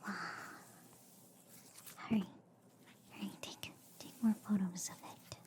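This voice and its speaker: A woman softly whispering an ASMR roleplay as a reassuring alien surgeon, breathy and hesitant, close to the microphone. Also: medium pitch, fairly even intonation, slow pace. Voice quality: soft, breathy, mostly whispered. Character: reassuring, slightly inept alien girl surgeon. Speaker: ASMR performer playing an alien doctor.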